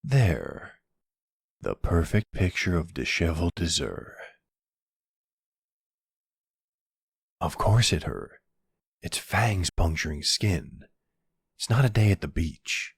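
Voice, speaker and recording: A flirty male vampire, acted by a man in an intimate ASMR roleplay, very low and husky. He teases with a cocky, sardonic drawl, taking his time and swinging expressively through his lines. The sound is close and dry. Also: very animated, a little slow, no echo, exaggerated voice. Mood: wry, bossy. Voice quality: gravelly, husky.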